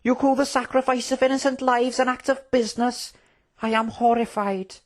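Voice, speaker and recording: Storybook prince, read by a narrator: high, earnest, principled, formal, quick to indignation. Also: steady tone, a little mellow, close to an everyday voice. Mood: horrified. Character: earnest, principled young prince, easily outraged.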